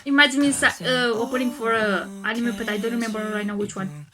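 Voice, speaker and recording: A woman hosting a music reaction video, talking calmly and softly, often pausing and restarting her sentences. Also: fairly high pitch. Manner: calm, soft-spoken, with hesitant restarts. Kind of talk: casual music reaction commentary.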